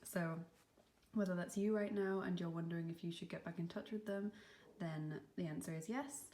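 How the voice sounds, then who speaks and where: A young woman with a cold and a slightly sniffly voice, giving a gentle, reassuring tarot reading with some softly whispered asides. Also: on the low side. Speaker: tarot reader. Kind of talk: pick-a-card tarot reading.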